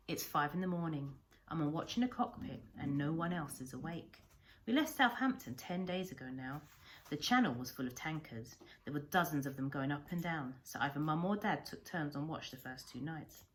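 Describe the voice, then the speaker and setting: A woman reading a storybook aloud like a primary teacher, with deliberate, expressive phrasing. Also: low voice, low-quality recording.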